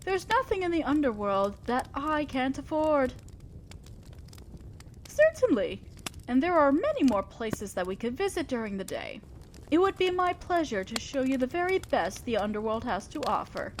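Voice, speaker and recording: A woman's voice: high voice, sing-song, normal speed, slight background noise. A woman playing a refined, teasing demon lord in an audio roleplay, addressing a mortal servant with genteel, indulgent, theatrical playfulness. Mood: indulgent, pleased.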